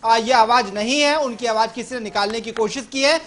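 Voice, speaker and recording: A man's voice: very high voice, fairly quick, a bit bright. A man giving live analysis as a news correspondent, explaining things directly to the listener.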